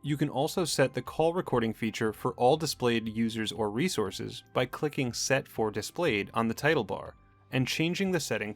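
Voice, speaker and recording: A man reading scripted instructional narration in a measured, matter-of-fact corporate manner. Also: medium pitch. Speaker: tutorial narrator. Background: background music.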